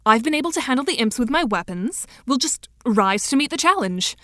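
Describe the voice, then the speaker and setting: A woman's voice: sits high, lively intonation, fairly quick, pushed and bright, dry recording, clean studio sound. A woman voicing a composed, formally spoken girl in an audio drama.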